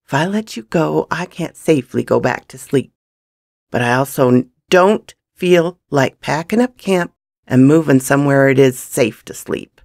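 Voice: mid-range voice, a little slow, few pauses, mellow, no echo, clean studio sound, close to an everyday voice. A reader voicing a threatening, sardonic, hard-drinking male gunslinger in a western audiobook. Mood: irritated menacing.

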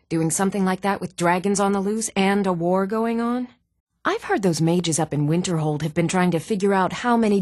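A woman playing poised, articulate townswomen and noblewomen in a fantasy video game, with measured, formal delivery. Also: on the low side, darkish tone, no room sound, studio quality. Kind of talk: scripted fantasy game dialogue.

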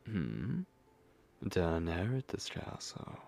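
A man playing a possessive, commanding vampire lord in an ASMR roleplay, speaking in breathy, gravelly whispers with slow, menacing condescension. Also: sits low, sing-song, a little mellow, heavily acted. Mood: smug, coaxing. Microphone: close microphone.

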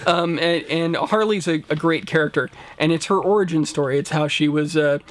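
Higher-voiced man, casual review co-host. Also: moderate pace. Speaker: co-host of a film and TV review show. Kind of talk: casual top-five list discussion. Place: indoor room lined with shelves of books and discs.

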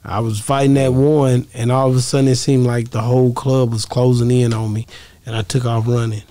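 A man's voice: mid-range voice, flat delivery, brisk, mellow. A man around his thirties, chatting casually as a podcast host and insistently repeating himself. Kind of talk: casual chat. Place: small podcast studio, indoors. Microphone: close studio microphone on arm.

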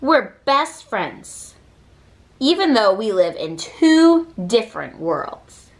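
A woman reading a children's picture book aloud with expressive, deliberate phrasing. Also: a little high. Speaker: read-aloud reader.

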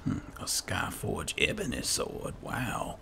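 A man's voice: low-pitched, wildly swooping pitch, slow pace, vocal fry, sharp tone, soft background music. A man giving casual roleplay commentary over a video game, musing and thinking aloud. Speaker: gaming video host.

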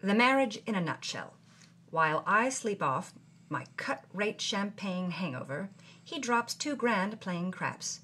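A woman's voice: medium pitch, very animated, a little slow, sharp tone. A woman voicing a brassy young actress who narrates her wedding-night troubles from her journal with wry, dramatic flair and period slang. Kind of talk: scripted audio drama narration.

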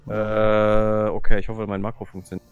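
A man's voice: fairly low pitch, completely flat delivery, normal speed, smooth, muffled recording, faint music behind. A man chatting casually as a player in a tabletop role-playing game.

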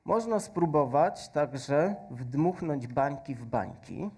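A man in his thirties doing a stage science demonstration, explaining slowly with many fillers and adding a faith message, on a headset mic. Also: on the high side, lively intonation, a little mellow, husky. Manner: slow, patient explaining with frequent fillers. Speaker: science presenter. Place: large stage with audience hall.

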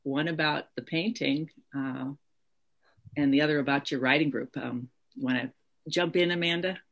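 A woman's voice: sits low, fairly even intonation, normal speed, lo-fi sound. A woman in her sixties casually moderating a question session on a video call from home. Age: 60s. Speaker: host, moderator. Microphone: video call computer mic.

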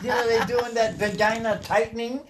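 A man co-hosting a casual radio chat in a small studio, excitable and jokey, cutting in often. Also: very high-pitched, moderate pace, slight background noise. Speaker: radio co-host.

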